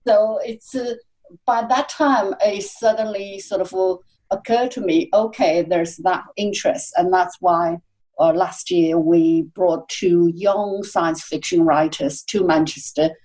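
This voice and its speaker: A woman with a warm, mellow and slightly low voice answers interview questions as a literary guest. She pauses often, filling the gaps with uh and you know as she works out her thoughts. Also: fairly even intonation, low-quality recording. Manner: hesitant, many "uh" and "you know" fillers. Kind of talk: casual interview chat.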